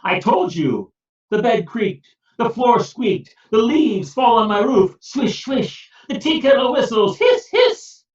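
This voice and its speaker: A frazzled villager at his wits' end, whining high and loud about every creak and squeak, as a storyteller puts him on. His lines climb up in pitch. The echoey, far-off recording sounds dull. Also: animated, drawn-out words, full character voice. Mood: exasperated. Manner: complaining, wailing at the end of his patience. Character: fretful grown man fed up with household noise.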